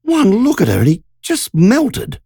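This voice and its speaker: A woman's voice: medium pitch, wildly swooping pitch, a little slow, creaky, mellow, slightly rough, no room sound, studio quality, voice pushed up, full character voice. A narrator voicing Margie, a shaken country woman who tells a frightening story in an urgent, breathless rush. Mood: indignant.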